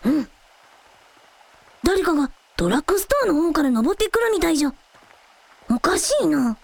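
A woman's voice: high-pitched, very animated, moderate pace, gravelly, a little mellow, no room sound, heavily acted. A woman playing a childlike, brave young dragon prince in a radio drama, with quaint, old-fashioned speech. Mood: dreamy, hungry. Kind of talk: Japanese radio drama acting.

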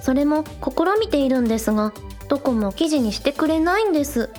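A woman's voice: high-pitched, animated, a little fast, darkish tone, soft background music. A woman voicing a spirited, earnest young shrine maiden and village idol in a radio drama. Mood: frustrated, resolute.

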